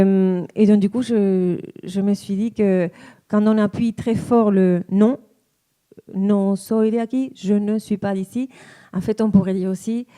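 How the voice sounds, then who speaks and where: A woman from Argentina in her forties with an Argentine accent, a tango dancer and teacher, speaking in a thoughtful, halting way as she searches for words. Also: medium pitch, dark tone. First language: Spanish. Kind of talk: personal reflective talk.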